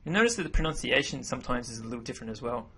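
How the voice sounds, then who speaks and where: Male voice-over teacher, native English speaker, husky, casual and self-correcting. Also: medium pitch, very fast. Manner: relaxed, self-correcting explanations. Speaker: language teacher. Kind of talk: narrated slideshow lesson.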